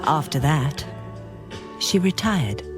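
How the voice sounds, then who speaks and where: Sentimental devoted fan, slow storytelling. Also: male voice, on the high side, lively intonation. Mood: reverent. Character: devoted fan narrating a star's life, sentimental, reverent. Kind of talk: radio play narration. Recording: music behind the voice.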